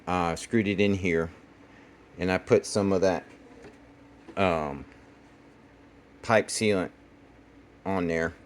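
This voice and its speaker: A man's voice: low-pitched, a little hiss or hum. Older man with a stuffy nose. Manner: folksy, rambling, many fillers. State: congested, voice a little off. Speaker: vlog host. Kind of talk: casual chat and how-to demonstration.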